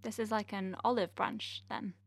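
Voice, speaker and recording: A woman playing an earnest, gently wry space-clinic doctor in an audio drama, conversational, self-deprecating and a little hesitant. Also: medium pitch, fairly even intonation, moderate pace, vocal fry, breathy edge, no room sound, soft background music. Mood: touched, teasing.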